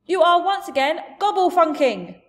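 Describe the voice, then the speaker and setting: A woman's voice: high voice, animated, a little slow, voice pushed up. A woman voicing a big, friendly, blustering male giant from a storybook, speaking emphatically in jumbled grammar and made-up words. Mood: indignant, excited. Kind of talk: storybook character voice.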